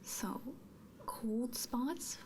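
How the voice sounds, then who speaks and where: Slow, whispering woman in ASMR roleplay. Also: fairly high pitch, animated. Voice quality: soft breathy whisper. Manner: unhurried, gently inquisitive, murmured hums. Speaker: ASMR performer. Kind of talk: whispered ASMR roleplay as a ghost removal technician.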